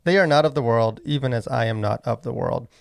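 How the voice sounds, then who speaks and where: Rambling man with a creaky voice. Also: mid-range voice. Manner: rambling, halting, packed with "like" and "you know". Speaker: podcast co-host. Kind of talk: casual discussion of faith and culture.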